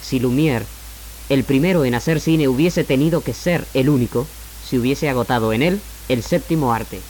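A man with an Argentine accent delivers a scripted radio monologue in a measured, formal, rhetorical manner as the host. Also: mid-range voice, darkish tone, slight background noise, poor audio. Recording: 1969 AM radio archive, music bed. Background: music.

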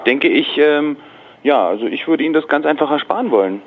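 A man's voice: on the high side, expressive, a little fast. A man taking a business call, speaking in a wordy, hedging, politely dismissive way. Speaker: dubbing studio employee taking a call. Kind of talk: phone conversation. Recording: tinny narrow-band phone line.

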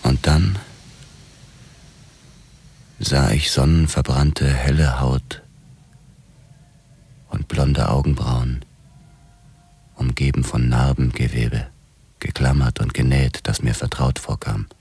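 An actor playing a grim police detective who narrates his own story in measured radio drama style. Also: a bass voice, steady tone, warm tone, husky, exaggerated voice. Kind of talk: scripted first-person narration in radio drama.

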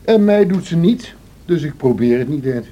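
Male actor for wild, scornful radio drama villain: fairly high, drawling, menacing, very expressive, faint hiss of an old recording. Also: normal speed, natural voice. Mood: sly, calculating. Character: wild, cruel, scornful man of a classic novel.

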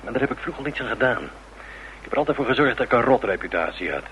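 A man playing a brash, cynical band musician in a radio drama, talking in a gruff, slangy, dismissive way. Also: mid-range voice, sing-song, quick pace, a bit bright. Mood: boastful, cynical. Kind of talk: Dutch radio drama acting. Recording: muffled, noisy old radio drama recording.

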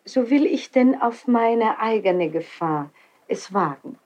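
A woman's voice: on the high side, lively intonation, slow pace, darkish tone, dull audio. A woman playing a gracious, self-possessed queen in a classical German verse radio drama, speaking in a stately, formal courtly manner. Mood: composed.

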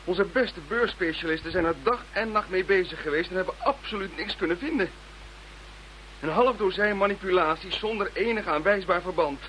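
An actor playing an earnest, frustrated police investigator in a radio play. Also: male voice, high-pitched, normal speed, fairly bright tone, low-quality recording. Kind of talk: scripted radio play acting. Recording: faint hum and noise.

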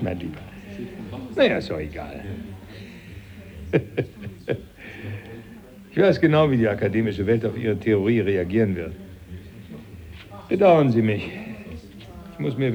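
An actor playing an older man, Darwin's fond, jovial old teacher, who talks warmly and chuckles. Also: lowish voice. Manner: warm-hearted, chuckling, confiding. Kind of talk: 1980s German radio play acting.

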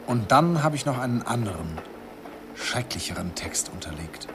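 A man's voice: medium pitch, sing-song, normal speed. An actor narrating as an older man wistfully recalling his youth in a classic radio play. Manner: wistful storytelling, chuckling. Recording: hissy old radio recording.

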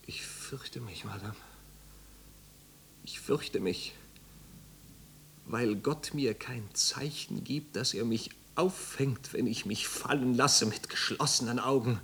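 An actor in a 1950s German radio drama playing a tormented young wanderer who pleads with God in despair, moving between breathy whispers and ringing cries. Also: male voice, mid-range voice, fairly bright tone. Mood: broken, fearful, then desperate pleading. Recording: noisy 1950s radio recording with hum.

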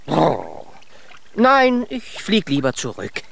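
A curious, doubtful stork, in a children's radio drama. The voice sits fairly high and swings about with amiable wonder, on a recording with faint noise behind it. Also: male voice, wildly swooping pitch, slow pace, vocal fry, fairly bright tone, heavily acted. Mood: amiable, reluctant. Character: curious, skeptical talking stork, amiable frog eater. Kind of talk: children's radio play acting.

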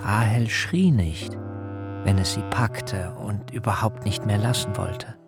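A man acting an anguished servant who recounts events solemnly and deliberately in a literary radio drama. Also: fairly low pitch, music in the background. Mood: troubled. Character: anguished male servant recounting a birth in an ancient household.

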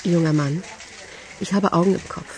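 An actress in a radio crime drama playing an aloof, dry-witted woman with a deadpan, cutting delivery. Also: lowish voice, normal speed, natural voice, poor audio. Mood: cool, dismissive. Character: aloof, dry-witted older woman, self-deprecating and unimpressed.

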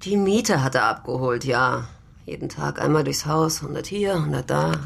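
Low, gravelly, streetwise prostitute. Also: female voice, moderate pace, exaggerated voice. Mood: guarded. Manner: curt, wary, blunt. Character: tough, guarded red-haired brothel prostitute, streetwise and proud. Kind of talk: naturalistic German radio drama acting.

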